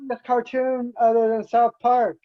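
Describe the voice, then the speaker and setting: A man's voice: extremely high pitch, little pitch movement, moderate pace, dull audio. A man taking part in a story time with casual comments. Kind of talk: casual chat.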